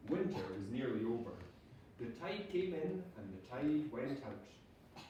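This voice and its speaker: A man, a teacher, reading a storybook aloud to children in a dramatic, drawn-out way. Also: mid-range voice, sing-song, mellow. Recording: echoey room, distant mic, background noise.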